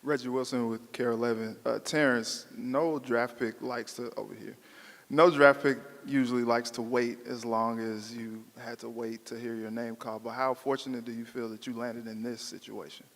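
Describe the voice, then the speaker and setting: Even-toned male reporter in echoing hall. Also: mid-range voice, normal speed. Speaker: television reporter. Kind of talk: asking a press conference question. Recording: echoey hall with long reverb.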